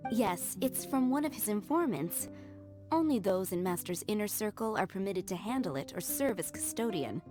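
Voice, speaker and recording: An actress playing a polite, formal and reassuring head maid in a video game. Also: female voice, mid-range voice, lively intonation, normal speed, natural voice. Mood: gracious. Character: courteous, composed female head maid of a winery. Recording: clear music behind the voice.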